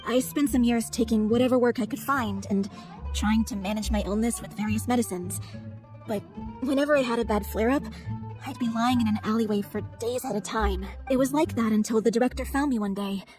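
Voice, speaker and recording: A fairly high young woman's voice from a game actress, frank and wounded. She speaks as a troupe performer with a hard past, set over background music. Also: a little fast, darkish tone, lightly acted. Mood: subdued, reflective. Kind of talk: video game voice acting.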